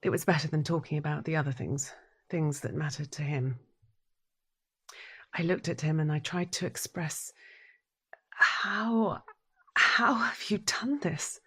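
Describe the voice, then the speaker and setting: Withdrawn, troubled wife narrating her own story for audio drama. Lowish breathy voice, deliberate, inward-looking, pausing for weight. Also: pushed and bright, exaggerated voice. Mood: wistful, regretful. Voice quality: breathy, husky. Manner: introspective, deliberate, dramatic pauses.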